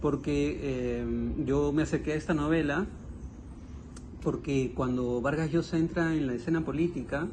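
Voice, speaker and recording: A man's voice: on the high side, steady tone, normal speed, a little mellow, low-quality recording. A man, discussing a book conversationally in a reflective way and often searching for his words. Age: 50s. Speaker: guest writer commenting on a novel. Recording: noticeable background ambient noise.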